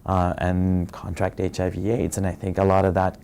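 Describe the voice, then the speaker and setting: Deep mellow-voiced man from Fiji. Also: monotone, creaky, clean tone. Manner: soft-spoken and reflective, many pauses and fillers. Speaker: interviewee sharing his story. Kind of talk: personal testimony.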